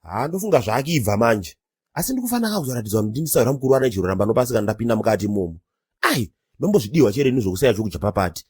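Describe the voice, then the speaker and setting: A man's voice: medium pitch, big pitch swings, racing, darkish tone, breathy edge, dry recording. A man voicing a bossy, grumbling uncle on gate duty in a comedy cartoon, argumentative and exclaiming.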